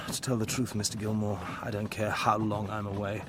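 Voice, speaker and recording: An actor playing a young Victorian art teacher, earnest and troubled, with an English accent in a period audio drama. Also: male voice, on the low side, flat delivery, normal speed, lightly acted. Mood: weary. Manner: urgent, earnest, weary. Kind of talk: period radio drama acting. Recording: street ambience and noise behind.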